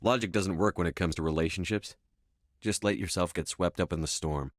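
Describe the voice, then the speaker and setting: A man's voice: low-pitched, brisk, no echo, studio quality, natural voice. A man voicing a resolute, earnest human starship commander in a video game, firm and clipped in his delivery. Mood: soft, intimate. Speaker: voice actor. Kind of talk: video game dialogue voice acting.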